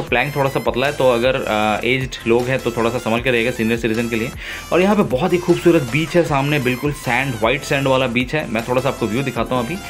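A man narrating his own travel vlog in a relaxed, enthusiastic way, pausing often with fillers, filmed on a phone outdoors on a river boat. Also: medium pitch. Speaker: travel vlogger.

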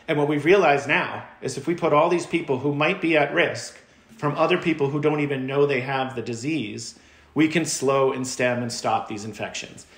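A man's voice: mid-range voice, moderate pace, echoey room. A man in his forties explaining things conversationally as a panel guest on a video call with earbuds.

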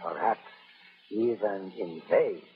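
An actor playing a scheming male scientist who offers a deadly plague, with a smooth, insinuating manner, from a tinny 1930s radio broadcast. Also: sits low, slow.

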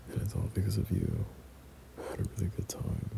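Low, very gravelly young man, ASMR boyfriend. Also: flat delivery, fairly quick, a little hiss or hum. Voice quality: breathy, often dropping to a whisper. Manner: tender, hesitant, softly chuckling. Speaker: boyfriend ASMR audio performer. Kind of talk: intimate boyfriend roleplay ASMR.

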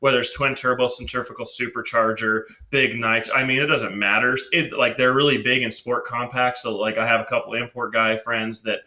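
A man's voice: mid-range voice, fairly even intonation, fairly quick, hardly any pauses, pushed and bright, reverberant, rough recording. A man in his thirties hosting a casual car show with an upbeat, enthusiastic manner, wearing a headset in a garage studio. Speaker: show host. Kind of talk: casual podcast chat.

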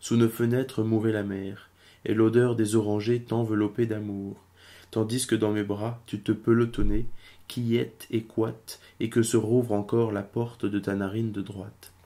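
A man reading poetry aloud as an amateur, in a solemn recitation with measured, declamatory phrasing and pauses. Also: on the low side, flat delivery, warm tone.

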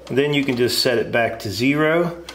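A middle-aged American man talks through a casual hands-on demonstration. His manner is plainspoken and folksy, with a mid-pitched voice. It is recorded in a roomy workshop shed with echo and noticeable noise behind him. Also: normal speed, fairly bright tone. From United States. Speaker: homestead vlogger reviewing a tool. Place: open wooden workshop shed. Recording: roomy echo, clear background noise, clatter.

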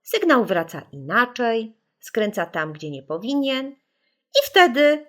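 A woman voicing a calm, caring mother who gently and patiently explains things to children in an audiobook. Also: fairly high pitch, slow pace, heavily acted. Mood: reassuring.

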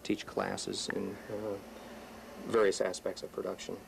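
Very deep, gravelly young man. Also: monotone, measured pace, background noise. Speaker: production coordinator and teacher. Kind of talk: brief interview answers. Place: indoor video edit room. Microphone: reporter's handheld microphone held toward him.

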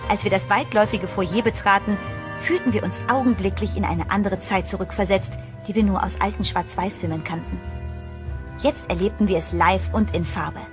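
Low-voiced actress as an uneasy young traveller. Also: female voice, moderate pace, lightly acted. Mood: awed storytelling. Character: uneasy young woman traveller, storyteller of a ghostly tale. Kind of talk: German horror audio drama acting and narration. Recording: voice over prominent music. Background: music.

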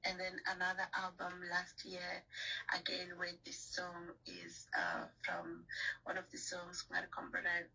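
Woman guest, bright and raspy, earnest and rambling, heard from a distance. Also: on the low side, a little slow. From Zimbabwe. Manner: earnest, prayerful, rambling with restarts. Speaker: gospel singer, guest. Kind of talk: phone-in radio interview.

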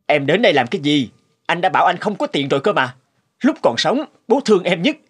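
A man voicing a resentful, scolding grown elder son in an animated moral tale, exclaiming and complaining. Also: on the high side, a little fast, breathy edge. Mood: annoyed. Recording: music under the dialogue.

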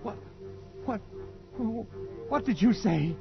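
Haunted, feverish Englishman, wildly swinging pitch. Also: animated, slow, gravelly, over music, heavily acted. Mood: panicked. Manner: agitated, feverish, swinging from brooding to frantic. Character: tormented Englishman haunted by a curse, near madness. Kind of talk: 1940s radio drama acting. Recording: muffled old radio recording.

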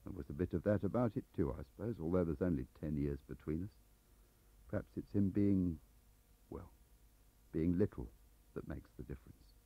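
A man's voice: sits low, normal speed, pauses often, husky, no echo. A man voicing an aggrieved, lonely middle-aged English clerk who rambles defensively, in an audiobook on a dull cassette recording. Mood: wistful, confessional.

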